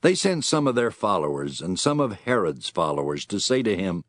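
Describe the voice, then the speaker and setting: A man's voice: low voice, sing-song, normal speed, no room sound, studio quality. A man reading scripted narration for an audio Bible drama as its storyteller.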